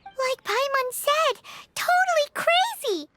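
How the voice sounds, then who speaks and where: A woman voicing a small, excitable childlike fairy companion in a video game, squeaky and exclamatory. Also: takes it slowly, very noisy, full character voice. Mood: alarmed. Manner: exclamatory, speaks of herself in third person.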